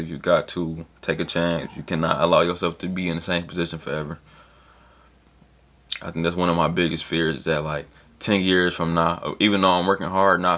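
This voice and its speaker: A man's voice: sits low, a bit bright. A man in his early twenties giving a loose, rambling motivational talk in slang, with casual swearing, as a solo vlogger. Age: 20s.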